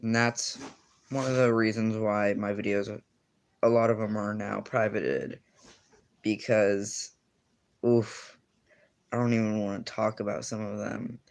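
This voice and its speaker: A man's voice: on the low side, level tone, a little slow, gravelly, pauses often. A teenage boy in casual livestream chat, answering viewers and rambling with lots of fillers and self-corrections. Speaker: streamer.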